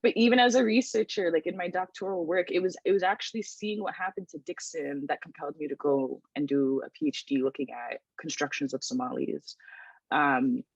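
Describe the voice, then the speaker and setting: A woman's voice: low-pitched, fairly even intonation, fairly quick, slightly rough, dry recording, muffled recording. A woman, a writer and researcher talking thoughtfully in a casual podcast chat, with many restarts and ums. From Toronto, Canada.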